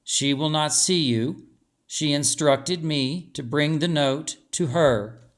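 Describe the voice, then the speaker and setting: A man voicing a male raven courier in a storybook, direct and to the point but gentle and unthreatening. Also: on the high side, takes it slowly. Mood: curt, calm. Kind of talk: storybook character dialogue.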